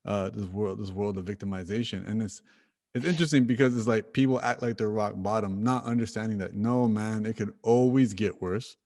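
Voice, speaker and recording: A man's voice: fairly low pitch, fairly even intonation, a little fast, darkish tone. A man hosting a podcast conversation, thinking aloud in a reflective way and leaning on lots of "you know" and "like". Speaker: podcast host. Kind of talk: conversational interview.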